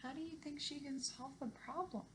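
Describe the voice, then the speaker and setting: A woman in her thirties, an elementary teacher, speaking to young children in an animated, encouraging read-aloud style at home. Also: high-pitched, a little fast, slight background noise. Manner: animated, encouraging, simple questions addressed to children. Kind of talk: expressive children's read-aloud and lesson talk to young pupils. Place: living room at home.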